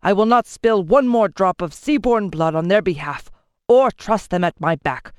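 A woman voicing an angry, proud male lord who spits his words and shouts orders to his warriors. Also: lowish voice, very animated, moderate pace, dry recording, studio quality. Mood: scornful. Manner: harsh, shouting commands.

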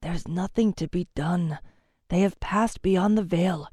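Woman voicing a gentle fae king, low and quiet, grave, storybook reading. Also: a little slow, slightly rough, no room sound, clean studio sound. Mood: somber.

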